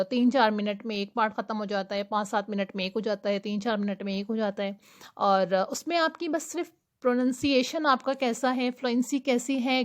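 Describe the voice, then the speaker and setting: A young woman teaching IELTS speaking tips, giving her English tips slowly and deliberately between quicker explanations. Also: on the high side. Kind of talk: explanatory lesson talk.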